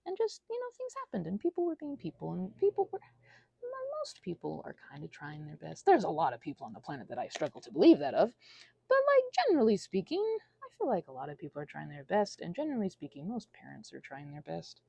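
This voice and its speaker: A woman's voice: on the low side, wildly swooping pitch, quick pace, creaky, warm tone, breathy edge, no room sound. A woman giving a casual, rambling monologue, whimsical and self-deprecating as her thoughts wander. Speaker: audio creator rambling to listeners.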